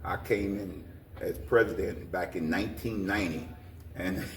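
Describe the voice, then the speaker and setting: An older man answering interview questions in a folksy, halting way with frequent pauses. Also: deep voice. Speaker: interview guest, community organization president. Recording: roomy echo, distant mic, faint noise.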